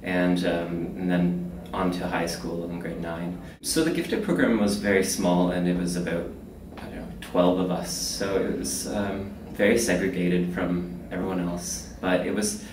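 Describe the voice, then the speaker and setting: Very deep-voiced man, distant and echoey. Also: steady tone, measured pace, few pauses, background noise. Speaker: interviewee. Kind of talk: casual recollection.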